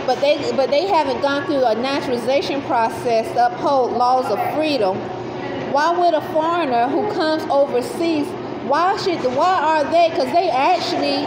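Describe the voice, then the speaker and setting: High-pitched woman, unscripted explainer, halting with fillers; roomy phone-style recording. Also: background noise. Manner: repetitive, searching, many fillers and restarts. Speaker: speaker explaining beliefs. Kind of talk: casual spoken monologue.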